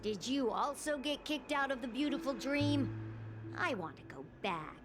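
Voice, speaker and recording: A woman's voice: high voice, expressive, normal speed, over music. An actress playing a lost, plaintive young woman who sounds unsure of herself in a video game. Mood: confused, plaintive. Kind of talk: video game character dialogue.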